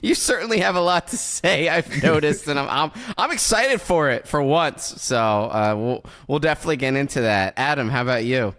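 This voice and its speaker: A man's voice: on the high side, lively intonation, moderate pace, few pauses, a bit bright. Teasing male podcast host. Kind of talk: casual group podcast chat.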